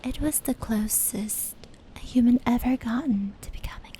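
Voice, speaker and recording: A woman playing a gentle, knowing fox spirit in an ASMR roleplay, telling stories in a soft, breathy, soothing voice. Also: a little high, moderate pace, close to an everyday voice. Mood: calm storytelling. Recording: steady rain and brushing noise layered in. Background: indoor rain patter and brushing sounds.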